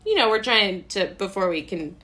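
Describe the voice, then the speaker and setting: A woman's voice: mid-range voice, moderate pace. An American woman talking casually as an interview guest, in a rambling way full of "like" and self-interruptions. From Brooklyn, New York, USA. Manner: rambling, animated asides with frequent "like" and self-interrupting laughs. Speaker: playwright, director and actor, interview guest.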